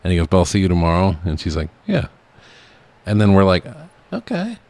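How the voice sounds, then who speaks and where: Deep-voiced, animated man. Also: a little fast, no room sound. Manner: profane, exasperated, reactive. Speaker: podcast co-host. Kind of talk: casual movie discussion.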